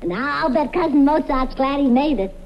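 A woman's voice: high voice, animated, moderate pace, very noisy. A woman playing a sweetly scatterbrained character in a vintage radio comedy routine, delivering absurd lines earnestly. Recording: muffled old radio recording with audience.

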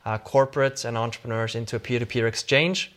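A middle-aged Swiss man talks as an interview guest, his voice at an ordinary middle pitch. He rambles through his answers, full of fillers, repeated words and quick self-corrections. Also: fairly even intonation, fairly bright tone. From Switzerland. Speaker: guest, startup CEO.

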